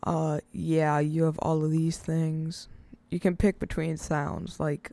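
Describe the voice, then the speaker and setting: A casual, rambling speaker hosting an informal step-by-step software tutorial, recorded close to the mic as a voice-over. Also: mid-range voice, flat delivery, slow pace, vocal fry, dry recording. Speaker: tutorial host.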